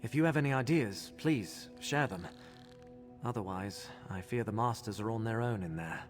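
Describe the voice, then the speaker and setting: A man voicing a polite, earnest curate in a fantasy video game, speaking in a measured way. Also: on the low side, faint music behind, close to an everyday voice. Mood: worried. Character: courteous, earnest male curate in a fantasy world.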